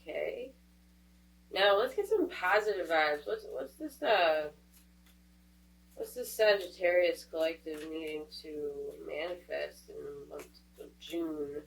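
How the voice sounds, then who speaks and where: A woman's voice: low voice, slow, creaky. A woman doing a casual tarot reading, stuffed up from a cold, chatty and thinking aloud as she goes. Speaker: tarot reader. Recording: voice a bit distant from the mic, faint hum.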